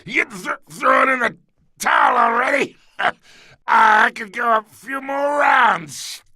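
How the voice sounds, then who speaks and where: An actor playing an older, blustering crime boss in a video game, coarse and swaggering, with a gravelly voice. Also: male voice, very high voice, lively intonation, takes it slowly, pushed and bright, no echo, raised voice, clean studio sound, full character voice. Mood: defiant, strained.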